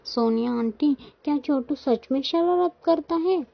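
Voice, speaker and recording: Woman putting on a bratty high-voiced cartoon crow boy. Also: measured pace, gravelly, no room sound. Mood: whiny. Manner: whiny, sing-song, repetitive. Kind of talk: children's cartoon dubbing. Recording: dull lo-fi sound.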